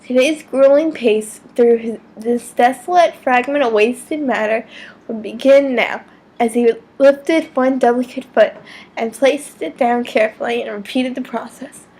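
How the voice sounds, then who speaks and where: A woman giving a mock-dramatic amateur story reading, theatrical and deliberate, now and then stumbling over words. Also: on the high side, a little mellow, husky. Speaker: amateur reader of fan fiction.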